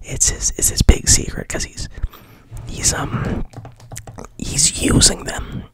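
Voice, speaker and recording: A man whispering an ASMR retelling of a film plot into a mic, rambling and deadpan, with constant swearing. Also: slow. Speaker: ASMR host. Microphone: studio mic.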